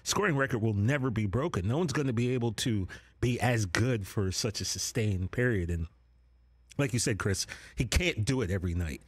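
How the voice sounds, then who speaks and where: A man's voice: fairly low pitch, lively intonation, moderate pace, slightly rough, no echo. A man on a sports talk show speaking earnestly and personally, building to a play-by-play recap. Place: studio set. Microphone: desk broadcast mic.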